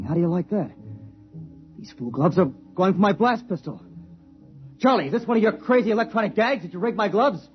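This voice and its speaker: A man acting as a breezy, easygoing serviceman in a 1950s radio drama, muttering and exclaiming in colloquial talk. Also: a little high. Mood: alarmed. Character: breezy, easygoing serviceman talking to himself, puzzled. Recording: dull 1950s radio recording.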